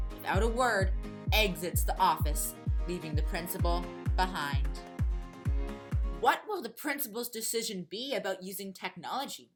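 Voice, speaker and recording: A woman reading scripted fiction as the narrator of a story podcast. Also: mid-range voice.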